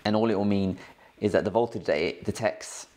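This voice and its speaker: A man's voice: lowish voice, brisk, warm tone. A man hosting a tech tutorial in a casual, informal, slangy way, explaining things step by step. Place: indoors at a cluttered work desk.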